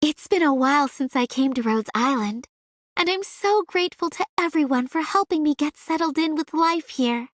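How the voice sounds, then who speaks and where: A woman voicing a naive, excitable young newcomer girl in a game, earnest in manner. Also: high-pitched, normal speed, no room sound, clean studio sound. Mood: grateful. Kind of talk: scripted game voice lines.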